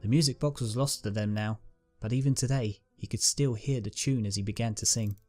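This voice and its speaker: Calm man reading a pony fanfic. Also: on the low side, brisk. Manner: calm, steady storytelling. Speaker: narrator. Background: guitar music.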